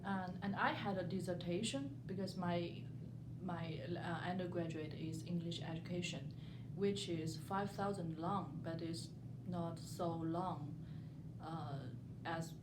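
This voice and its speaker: Young female student, Chinese accent, non-native and halting, lowish voice, informal interview in a noisy room. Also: fairly even intonation. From southern China. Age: young adult. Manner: halting, repeats words while searching for phrasing. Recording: echoey room, noticeable background noise.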